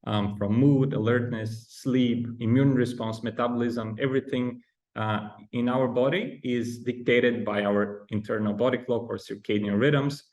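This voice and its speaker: A man's voice: mid-range voice, steady tone, a little slow, darkish tone. A man in his thirties from Bulgaria, speaking with a Bulgarian accent as a podcast guest, explaining with frequent ums and restarts. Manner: hesitant, many ums and restarts. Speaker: guest researcher. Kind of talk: podcast interview.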